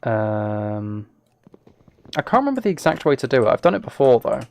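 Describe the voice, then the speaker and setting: A man with a noticeably creaky, gravelly voice at an average male pitch streams a game live. He talks casually to his viewers and thinks out loud as he plays. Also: big pitch swings, normal speed. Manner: thinks aloud, chatting with viewers.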